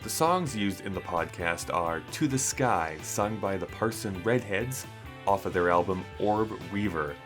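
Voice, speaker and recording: A man with a notably low-pitched voice co-hosts a casual podcast. He talks in a loose, conversational way and likes to joke and tease. Music plays clearly behind his voice. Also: lively intonation, unhurried. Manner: joking, teasing. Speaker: podcast co-host.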